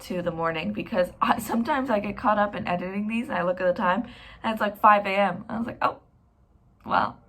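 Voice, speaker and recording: A woman's voice: mid-range voice, fairly quick, fairly bright tone. Young female vlogger, chatty and rambling, self-deprecating, casual talk to camera. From Canada.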